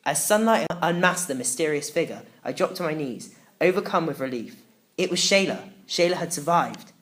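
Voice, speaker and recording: A woman's voice: sits low, expressive, normal speed. A woman narrating a children's story in the first person, reading in a dramatic, emotive way. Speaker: audiobook narrator.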